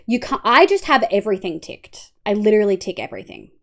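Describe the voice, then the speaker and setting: A woman's voice: mid-range voice, big pitch swings, fast. A young Australian woman streaming live, chatting casually with her viewers and walking them through settings, her voice congested and nasal. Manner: chatty, talks to chat, hums to herself. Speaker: livestreamer. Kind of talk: casual livestream chat and walkthrough.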